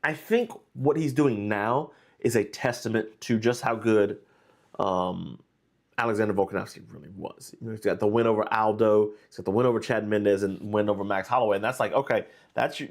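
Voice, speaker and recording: A man's voice: fairly low pitch, brisk. A man hosting a live stream, talking casually and rambling through fight analysis. He is under the weather and sounds nasal and stuffy, with plenty of fillers and restarts. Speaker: host.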